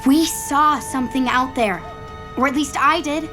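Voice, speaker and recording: A woman's voice: high-pitched, unhurried, sharp tone, soft background music. A woman playing a bold, bossy young girl in an audio drama, blunt and insistent.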